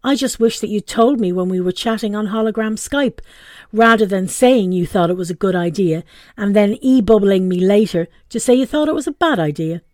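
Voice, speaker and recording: A woman's voice: medium pitch, a little fast, darkish tone, no room sound, studio quality, natural voice. A woman voicing a hurt adult friend leaving a stiffly polite, reproachful message in a story she reads aloud.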